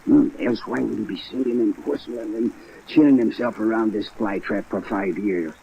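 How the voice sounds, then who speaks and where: An actor playing an ailing, scheming old convict in a 1950s radio drama, slangy, halting and pleading. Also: male voice, on the low side, vocal fry. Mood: insistent. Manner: slangy, excitable, insistent, halting. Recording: muffled old radio recording, rain noise.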